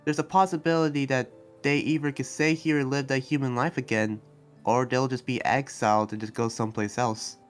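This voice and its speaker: A man acts out a kindhearted young demon slayer in an anime game roleplay. He is earnest and idealistic, with a middling pitch and hesitant, restarting delivery. Faint music plays behind him. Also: flat delivery, fairly quick, lightly acted. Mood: concerned, pleading. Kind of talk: improvised roleplay dialogue.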